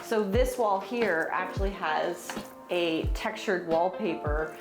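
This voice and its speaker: A woman hosting a DIY vlog, talking in an upbeat, expressive, casual and chatty way. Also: on the low side, slow pace, music in the background. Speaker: host of a DIY home makeover vlog.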